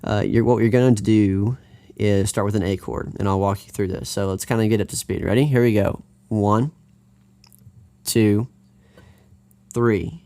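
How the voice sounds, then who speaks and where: A man's voice: lowish voice, fairly even intonation, unhurried, long pauses, mellow. An American man teaching a live guitar lesson, talking in an upbeat, chatty way that wanders and restarts.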